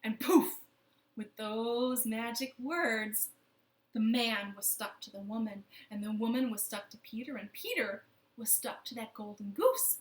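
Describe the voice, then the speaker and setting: A woman's voice: a little high, a little slow. A woman telling a children's story expressively from her living room, speaking directly to young listeners. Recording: roomy, echoing home living room.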